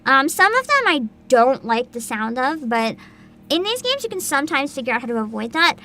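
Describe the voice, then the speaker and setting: A woman chatting casually as a livestream host, excitable and giggly, with dramatic emphasis. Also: high-pitched, brisk, a little hiss or hum.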